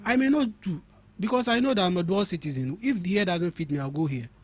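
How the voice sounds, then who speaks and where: A man's voice: sits high, quick pace. Emphatic Ghanaian man arguing a point. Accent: Ghanaian English. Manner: emphatic, argumentative, repeats words while building a point. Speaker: studio guest. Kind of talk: radio talk show interview.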